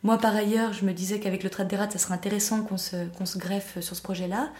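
A woman's voice: mid-range voice, fairly even intonation, fast, darkish tone, roomy sound. A woman of Argentine family background, a cultural association organizer who speaks hesitantly with many fillers. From Argentine parents. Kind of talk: scripted narration then interview.

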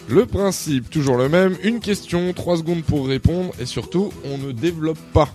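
A man's voice: fairly high pitch, moderate pace, warm tone, faint music behind. A man interviewing a teacher for a school show, reading set questions.

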